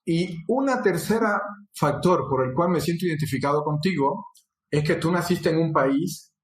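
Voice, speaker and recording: A man from Cuba who hosts an interview, asking reflective, musing questions with occasional fillers. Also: a little high. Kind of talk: conversational interview. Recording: roomy echo.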